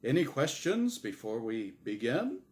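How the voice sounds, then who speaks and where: A man's voice: mid-range voice, sing-song, a little slow, a little mellow. A man voicing a smug, showy angel who hosts a game show, with theatrical, emphatic patter in a storybook reading. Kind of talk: storybook character voice in dramatic reading.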